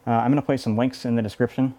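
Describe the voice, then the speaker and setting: A man hosting a casual audio equipment demo, explaining in a halting, loosely improvised way. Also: mid-range voice, fairly even intonation, warm tone. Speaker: video host, audio equipment reviewer.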